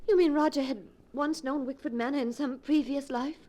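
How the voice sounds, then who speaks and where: An actress playing an anxious, pleading wife in a ghost story, with earnest melodramatic 1940s radio acting on an old broadcast recording. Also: female voice, sits high, steady tone, moderate pace, dark tone, lightly acted. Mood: worried, questioning.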